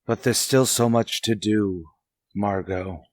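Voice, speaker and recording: Man playing suave, resolute gentleman, dry sound. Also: fairly low pitch, steady tone, slow, no echo. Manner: genteel, earnest, theatrical. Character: suave, resolute gentleman secretly a crime fighter. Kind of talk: old-time radio drama acting.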